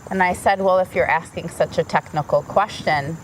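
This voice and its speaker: American woman, real low voice, telling her story straight and lively. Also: moderate pace, slight background noise, low-quality recording. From United States. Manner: frank, animated storyteller. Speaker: interviewee. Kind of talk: interview, personal account.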